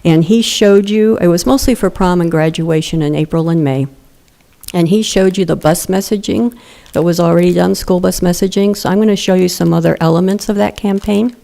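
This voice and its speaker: Soft-spoken woman with a mellow low voice. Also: a little fast, vocal fry. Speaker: grant program presenter. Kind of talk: public meeting presentation. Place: town council chamber. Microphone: podium microphone.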